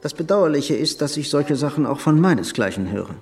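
An actor playing a haughty, cynical aristocratic prince in a radio drama, condescending and sardonic. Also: male voice, fairly high pitch, sing-song, a little fast, creaky, a little mellow, soft background music. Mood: scornful, cynical.

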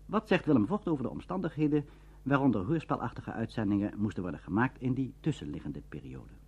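A man's voice: medium pitch, sing-song, fast, husky. A man reads scripted documentary narration in a formal, carefully worded way. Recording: dull archive radio recording.

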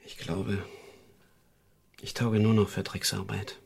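An actor playing a sly, cynical, seedy press photographer and hustler in a radio drama. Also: male voice, lowish voice, flat delivery, takes it slowly, vocal fry, darkish tone.

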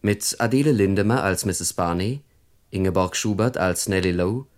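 A male radio announcer with a low voice reads scripted credits. His delivery is formal and measured.

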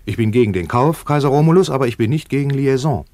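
An actor playing a brash, self-important merchant tycoon in a radio play, talking in a pompous, emphatic business manner. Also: male voice, mid-range voice, expressive, fast talker, mellow, no room sound, lightly acted. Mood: businesslike. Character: brash, self-important tycoon trouser manufacturer in ancient Rome. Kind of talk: German radio play acting.

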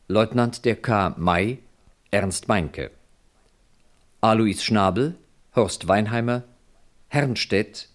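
A man reading radio drama credits as a formal, neutral, measured announcer. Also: on the low side.

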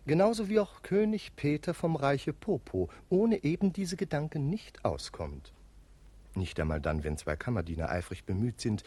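Narrator guy, mellow husky voice, wry and chatty, lively pitch. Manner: wry, conversational storytelling. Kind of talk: theatrical radio-play narration.

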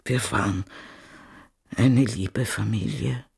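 A melancholy male narrator recounts family life in a radio play. His voice is creaky and slow, subdued and deliberate. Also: fairly low pitch, a little hiss or hum. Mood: wistful.

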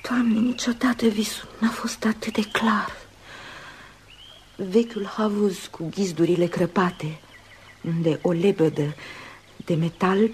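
An actress playing a dreamy, yearning woman in a radio play, speaking in a musing way with pauses. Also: on the low side, a little mellow, close to an everyday voice. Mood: entranced, hushed. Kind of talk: Romanian radio theatre acting. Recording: noisy old radio drama recording.

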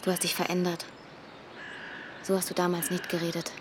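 A woman acting in a German radio drama as a weary, guilt-ridden carer, speaking with restraint and often hushed. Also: on the low side, monotone, a little fast, noisy background, close to an everyday voice. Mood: hurt, resigned. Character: weary, guilt-ridden woman caring for her dying aunt. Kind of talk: German radio drama acting, dialogue and intimate inner monologue.

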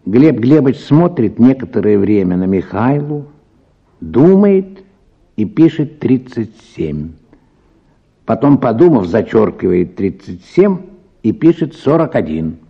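A man's voice: mid-range voice, big pitch swings, measured pace, vocal fry, husky, dull audio. A man narrating a scripted story as part of a Russian radio drama.

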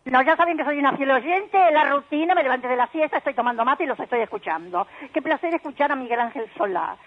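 A woman's voice: high-pitched, expressive, fast. A woman leaving an effusive, admiring phone message for a radio show. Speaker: caller. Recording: tinny phone line.

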